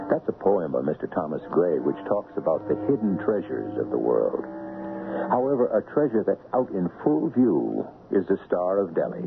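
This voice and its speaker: An American man hosting a radio mystery series with wry, measured, theatrical scripted narration, on a tinny old broadcast. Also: sits low, creaky.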